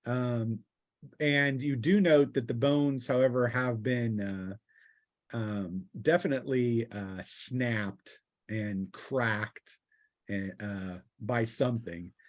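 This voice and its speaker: Hesitant man running a tabletop game. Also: mid-range voice. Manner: halting, hesitant, pausing mid-sentence. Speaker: game master. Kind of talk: improvised tabletop roleplaying narration.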